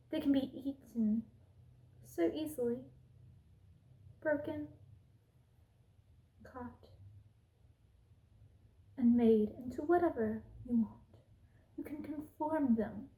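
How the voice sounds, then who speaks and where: A woman playing a haughty, cruel evil queen in an ASMR roleplay, speaking in an imperious, deliberate, menacing way. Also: fairly high pitch, dark tone, low-quality recording. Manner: imperious, slow and deliberate, drops into menacing whispers.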